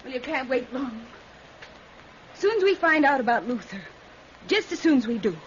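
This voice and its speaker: An actress playing a sharp, nervous, scheming rural American woman in an old-time radio drama. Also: high voice, big pitch swings, normal speed. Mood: anxious, scheming. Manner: edgy, folksy country speech. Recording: muffled vintage radio recording, faint hiss.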